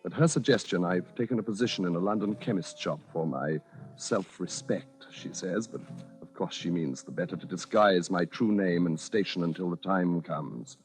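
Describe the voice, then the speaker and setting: A man's voice: sits low, moderate pace, faint music behind, lightly acted. An actor in old-time radio style playing a deluded, meek yet grandiose man with brittle nerves, speaking formally and precisely. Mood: smugly confiding. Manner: formal, polite, stiffly precise.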